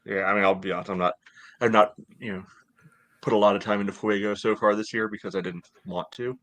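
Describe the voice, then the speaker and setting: A man's voice: on the low side, fairly even intonation, quick pace, a bit bright. An American man in his thirties co-hosting a casual sports podcast over a video call, talking conversationally. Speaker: podcast co-host. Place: home room, indoors, on a video call.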